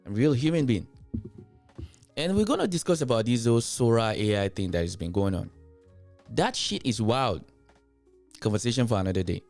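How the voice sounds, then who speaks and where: Emphatic Nigerian man, lively pitch. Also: fairly quick, pauses often, faint music behind. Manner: emphatic, repeats himself to drive points home. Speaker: commentary show host. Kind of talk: reaction commentary, addressing viewers.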